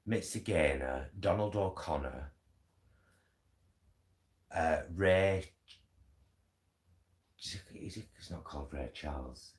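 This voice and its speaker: A man's voice: very deep voice, slow, pauses often. A British man chatting casually in a rambling, hesitant way, as a vlogger talking at home. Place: indoors at home. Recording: echoey room.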